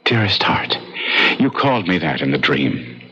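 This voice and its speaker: Low, husky, obsessed awkward man. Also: very animated, moderate pace, sharp tone, heavily acted. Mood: obsessed, pleading. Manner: hesitant, stammering, intense. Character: obsessed, awkward, earnest man drawn to a stranger. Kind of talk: old-time radio drama acting. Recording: muffled old radio broadcast.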